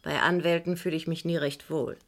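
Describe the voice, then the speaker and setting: A woman's voice: deep voice, fairly even intonation, a little fast. An actress playing a guarded, distrustful married woman in a 1970s German radio crime drama, speaking in a cool manner.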